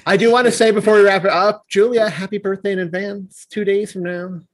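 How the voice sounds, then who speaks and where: A man's voice: high-pitched, fairly even intonation, fairly quick. A man hosting a casual podcast chat. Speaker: podcast host.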